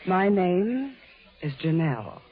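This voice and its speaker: A woman's voice: on the low side, very animated, slow pace, a crowd in the background. A woman playing a sultry, coolly flirtatious nightclub dame in a 1940s radio noir, coy, teasing and evasive. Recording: narrow, tinny 1940s radio recording.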